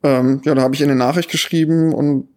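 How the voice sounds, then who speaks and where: A man in his thirties talks in a relaxed way with a Rhineland German accent. His voice sits fairly high and stays flat in tone. He pauses often with ums as he thinks. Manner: relaxed, many ums, thoughtful. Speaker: journalist. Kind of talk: podcast interview.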